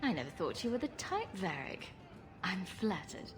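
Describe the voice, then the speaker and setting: A woman's voice: medium pitch, big pitch swings, normal speed, over music, natural voice. A woman playing a sarcastic, playful adventurer hero in a video game, speaking with dry humor. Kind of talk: video game dialogue acting.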